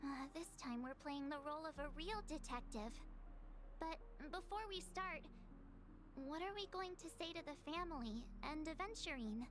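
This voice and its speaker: Spirited, high-pitched anime girl. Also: moderate pace. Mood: eager. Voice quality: youthful, girlish. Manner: expressive, openly emotional. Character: spirited, protective young girl detective in anime game. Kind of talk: anime game voice acting. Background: background music.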